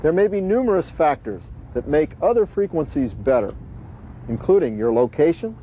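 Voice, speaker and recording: A man giving deliberate, instructive scripted narration for a safety training video. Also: a little high, sing-song, dull audio, a little hiss or hum.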